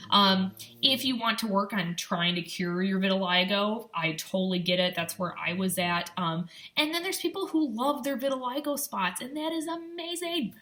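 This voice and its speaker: A woman's voice: mid-range voice, animated, moderate pace, hardly any pauses, a bit bright. A young woman from Michigan with a light Upper Midwest accent, chatting encouragingly in a casual vlog with plenty of ums and likes. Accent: Upper Midwest American. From Michigan, USA. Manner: upbeat, encouraging, chatty with many fillers. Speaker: vlogger.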